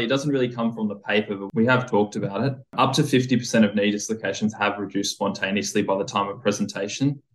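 A man with a fairly low voice reads a research summary in a steady, flat way, with faint music under him. His delivery is measured and even, like a prepared script. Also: rough recording. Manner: measured, evenly read. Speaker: podcast presenter summarizing a paper. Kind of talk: read summary.

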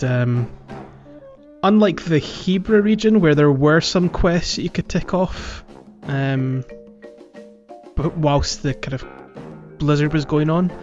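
Slightly muffled higher-voiced man chatting. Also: a little mellow. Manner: jokey, prone to chuckling. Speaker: podcast host. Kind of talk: casual gaming podcast chat.

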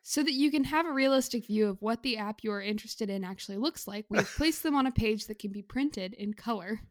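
Woman podcast host w a kinda high voice, just chatting casually, funny convo. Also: fairly quick. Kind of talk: casual comedic chat.

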